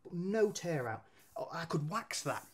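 A man's voice: on the high side, lively intonation, a little slow, husky. A man, chatting casually as he demonstrates woodworking at a bench in his workshop, swearing now and then and using local slang. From England. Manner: talks while handling and planing wood at a bench. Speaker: woodworking teacher. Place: indoor workshop with brick walls.

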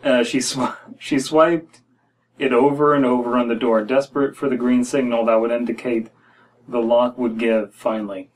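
A man's voice: medium pitch, flat delivery, normal speed, low-quality recording. An American man in his thirties reading a horror story aloud as a podcast co-host, with wry, critical asides. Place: small room indoors.

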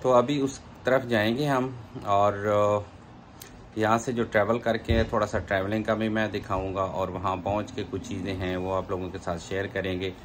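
A man's voice: on the low side, little pitch movement, normal speed, slight background noise. A middle-aged man with a Pakistani Urdu accent, chatting unhurriedly to a phone camera for a travel vlog, with many fillers and asides. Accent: Urdu-speaking, Pakistani. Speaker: travel vlogger. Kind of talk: casual travel vlog narration. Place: indoors by a plain wall, then driving on a highway. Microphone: phone camera at arm's length.

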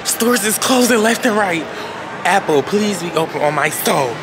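A young woman in her late teens vlogging casually to a handheld camera, excitable and full of slangy exclamations and squeals. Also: fairly low pitch, normal speed, background noise. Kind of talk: casual vlog chat to camera. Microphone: handheld camera close to face.

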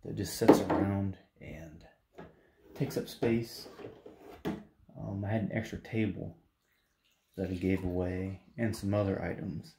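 A man's voice: sits low, steady tone, measured pace, creaky, long pauses, reverberant, background noise. A man in his late twenties talking casually in a rambling vlog, with lots of fillers and restarts. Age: late 20s. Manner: meandering, many fillers and restarts. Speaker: art vlogger. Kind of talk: voice-over while drawing. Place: small apartment kitchen, indoors. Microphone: handheld camera at arm's length.

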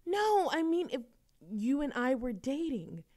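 A woman's voice: high voice, measured pace. A woman playing a playful, dramatic young woman chatting teasingly with a best friend in an audio roleplay. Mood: eager, persuading. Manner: animated complaining, teasing, theatrical exasperation. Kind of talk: intimate friend roleplay audio acting, conversational.

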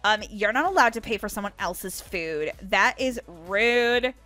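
A woman's voice: mid-range voice, expressive, measured pace, pushed and bright, soft background music. A woman voicing a rude, bored young female cashier in a video game roleplay, dismissive and sarcastic. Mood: snappy.

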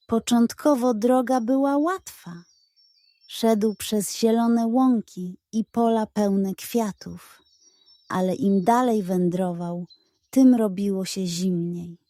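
Slow, soft-toned woman storyteller. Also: mid-range voice, no room sound, clean studio sound. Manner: expressive, carefully enunciated storytelling. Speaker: narrator. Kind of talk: scripted children's bedtime story narration.

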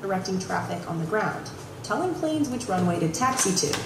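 A woman's voice: mid-range voice, big pitch swings, brisk. A woman reading scripted documentary narration in an explanatory way. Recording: echoey, distant replayed video, noisy.

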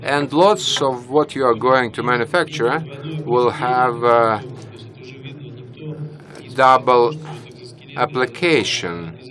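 A man commenting from the audience at a conference, holding a handheld mic and deliberately speaking lower than his natural high voice. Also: fairly bright tone. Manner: measured, with fillers. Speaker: audience member. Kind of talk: question from the floor. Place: conference hall, standing among the audience. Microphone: handheld microphone.

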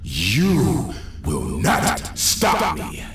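A man playing a menacing, unkillable monster in an audio horror drama, speaking in creaky, grandiose, ominous pronouncements. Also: on the low side, huge pitch swings, extremely slow, music in the background, heavily acted. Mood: menacing, slow. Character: menacing unkillable male monster, slow and wrathful. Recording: noisy, heavily echoing, distant sound.

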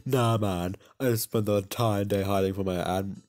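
A popular schoolboy from an anime visual novel, voiced by a man. He is loud, breezy and friendly, with a cocky streak and a fairly low voice. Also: fairly even intonation, normal speed, close to an everyday voice. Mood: cheerful, cocky.

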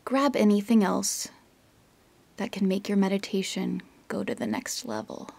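A woman leading a guided meditation in a soft, breathy voice that often nears a whisper, giving gentle instructions with long pauses. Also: mid-range voice, sing-song. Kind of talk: guided yoga nidra meditation.

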